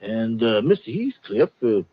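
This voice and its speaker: A man's voice: lowish voice, very animated, unhurried, husky, no room sound, heavily acted. An actor playing a genial, blustering older con-man colonel in a 1950s radio drama, with courtly, hesitant, folksy patter. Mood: smug, genial. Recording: muffled old 1950s radio recording.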